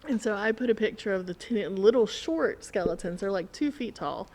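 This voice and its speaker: A woman's voice: mid-range voice, lively intonation, moderate pace, a little mellow, low-quality recording. Woman telling a story in casual chat.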